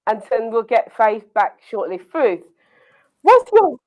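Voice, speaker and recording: Lively British woman hosting a live show. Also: fairly high pitch, measured pace, highs cut off. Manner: lively, laughs often, talks to viewers. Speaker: host. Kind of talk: live online talk show.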